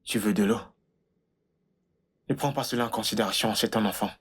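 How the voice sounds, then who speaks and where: A woman's voice: rumbling low, brisk, husky, exaggerated voice. A woman dubbing a stern older brother who lectures his teenage sister. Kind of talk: French dub of a film.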